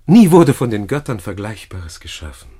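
An actor playing a scheming, flattering Roman gentleman in a classical radio play, speaking courtly, florid verse. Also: fairly low pitch, moderate pace, breathy edge. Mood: flattering, contrite.

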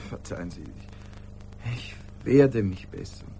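An actor playing an anxious, indignant, stubbornly principled office clerk in a German radio drama, halting and repeating himself. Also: male voice, fairly low pitch, vocal fry, dark tone, a little hiss or hum. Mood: apologetic, subdued.

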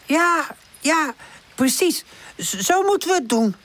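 Woman putting on a high, brave young boy puppy. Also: expressive, normal speed, fairly bright tone. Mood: nervous, stammering. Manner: stammers and hesitates when worried. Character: brave, protective older boy puppy, reassuring but nervous.

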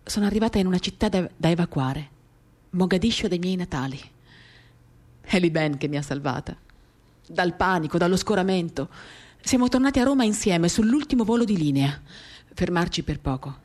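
A woman giving a dramatic reading of a literary first-person monologue, measured and expressive, in short phrases. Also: fairly low pitch. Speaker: reader of a novel for radio theatre. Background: acoustic guitar.

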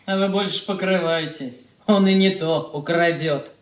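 An actress in a radio drama playing a blunt family nanny, dour, grumbling and reproachful. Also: female voice, fairly low pitch, normal speed, natural voice. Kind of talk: Russian stage-style radio drama acting. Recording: distant, echoey studio pickup.

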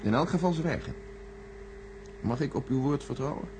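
A man playing a measured, secretive senior official in a science-fiction radio play, formal, deliberate and condescending. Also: medium pitch, lightly acted. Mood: grave, defensive. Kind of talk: radio drama acting. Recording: noisy old radio recording with hum.

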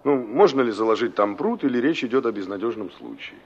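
An actor playing an impatient, hot-headed young carpenter, brusque and defensive, in a Soviet radio drama. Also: male voice, medium pitch, lively intonation, fairly quick, slightly rough. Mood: brusque, irritated. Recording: muffled 1960s radio play recording.

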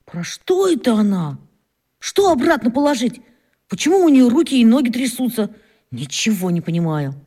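A woman playing an excitable, suspicious schoolboy detective in a children's radio play, exclaiming and thinking aloud dramatically. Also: on the high side, moderate pace, husky. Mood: puzzled.

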